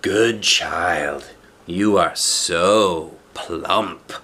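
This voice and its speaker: Man voicing a slow, low, cunning old wolf. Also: sharp tone, full character voice. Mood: feigned sweetness. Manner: slow, drawn-out, coaxing. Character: cunning old wolf posing as kindly grandmother.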